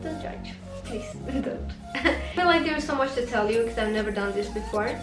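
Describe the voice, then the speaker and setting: A woman in her late twenties with a mixed Norwegian and Kurdish accent, chatting to camera as a beauty vlogger. Her voice sits a little high, and she fills her pauses with ums and uhs. She sounds roomy and a bit distant, with clear music playing behind her. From Kurdistan, raised in Norway. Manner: chatty, hesitant with fillers. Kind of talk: casual chat to camera.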